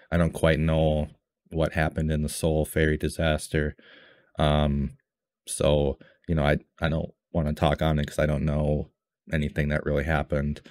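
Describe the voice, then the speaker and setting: A man hosting a music reaction video, talking in a hesitant, reflective way with lots of pauses and ums. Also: extremely low pitch, a little mellow, no echo. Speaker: music reaction channel host. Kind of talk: casual reaction commentary.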